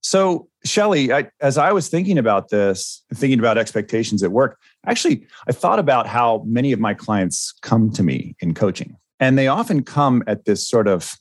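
Measured man podcast co-host, dry. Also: mid-range voice, very animated, no echo. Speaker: podcast co-host and ADHD coach. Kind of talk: reflective podcast talk.

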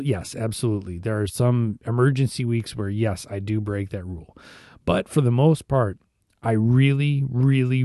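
A man with a hoarse, mellow, rather dark voice gives a relaxed devotional talk. He speaks conversationally, often restarting his words. The recording is close and dry, with no room sound. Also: mid-range voice, no room sound. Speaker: devotional podcast host, church musician.

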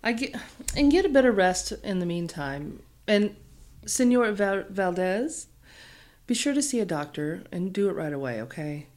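A woman's voice: mid-range voice, very animated, moderate pace, darkish tone, natural voice. An actress playing a friendly young female college student from Texas with a Texan accent, talking in a chatty, hesitant way. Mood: concerned.

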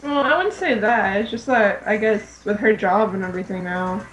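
A woman's voice: medium pitch, moderate pace, faint music behind, poor audio. A woman co-hosting a fan podcast in an informal, giggly, casual chat style.

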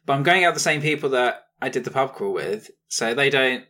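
A man's voice: mid-range voice, lively intonation, brisk, bright, forceful tone. A man, co-hosting a podcast with dry, teasing humour in casual conversation. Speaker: podcast co-host. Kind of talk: casual chat.

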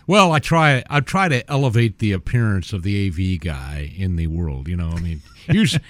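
A man's voice: deep voice, very animated, normal speed, a bit bright, no room sound. A middle-aged man guesting on a radio show, chatting about his trade in a genteel, chatty way in a studio. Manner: genteel, chatty, with small restarts. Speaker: guest audio-video installer. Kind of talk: casual radio chat.

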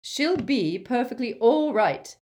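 A stern older professor with a crisp, icily proper delivery, pitched above the reader's own voice and taking her words slowly as a woman plays her. Every phrase comes out clipped and exact. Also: lively intonation, a little mellow. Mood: sharp. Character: stern older female professor, cold and precise.

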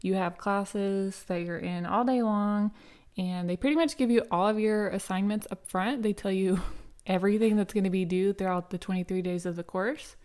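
A young woman with a slightly raspy voice hosts a relaxed solo podcast. She talks in a loose, rambling way, full of "um" and "like", and keeps coming back to restart her sentences. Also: mid-range voice, moderate pace. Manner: chatty, many fillers and restarts, light laughs. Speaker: podcast host. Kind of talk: casual solo podcast storytelling.

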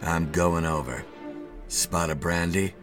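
Man playing a low, weary, nonchalant captain. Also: takes it slowly, over music. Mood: careless bravado. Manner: understated, nonchalant, clipped heroics. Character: weary, careless, heroic male air force captain.

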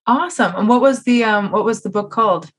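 A woman's voice: medium pitch, a little fast, slightly rough. A woman hosting a podcast interview in a casual, informal, conversational way. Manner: casual, informal phrasing with "man" and "you know".

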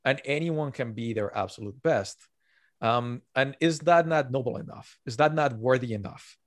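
A man's voice: mid-range voice, moderate pace, no echo. A man who is not a native English speaker, talking earnestly as an author guest on a podcast and repeating his key points. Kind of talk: podcast interview.

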